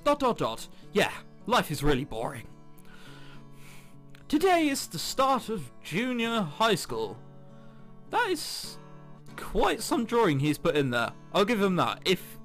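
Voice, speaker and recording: Guy doing a lets play, reads game text out loud, voice jumps around a lot, game music behind him. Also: high voice. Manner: jokey, sarcastic asides. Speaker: let's player. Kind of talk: casual gaming commentary mixed with reading game text aloud. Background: game background music.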